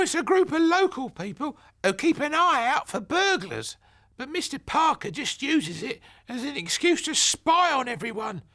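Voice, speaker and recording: A shrill gossipy old granny. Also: male voice, full character voice. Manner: high, loud and scolding. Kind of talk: audiobook character voice.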